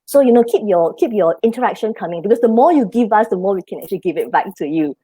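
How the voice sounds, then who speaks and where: A woman hosting a live online show, chatty and relaxed, filling her talk with "you know". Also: mid-range voice, fast talker, husky. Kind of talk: casual chat interview.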